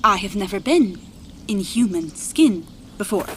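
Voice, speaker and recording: A woman voicing an eerie inhuman entity in a human body for a horror audio drama, speaking in halting, menacing fragments. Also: mid-range voice, animated, noisy background, lightly acted. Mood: taunting, menacing. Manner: halting, disjointed, menacing speech.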